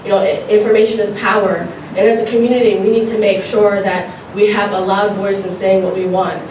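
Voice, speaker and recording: A woman's voice: mid-range voice, level tone, brisk, a little mellow. Stuffy woman politician talking to a crowd, lots of ums, far off mic in a big echoey room with noise. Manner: informal, filler-heavy, repeats phrases. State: stuffy from allergies. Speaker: assemblywoman. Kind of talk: public forum speech. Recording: echoey hall, distant mic, noisy.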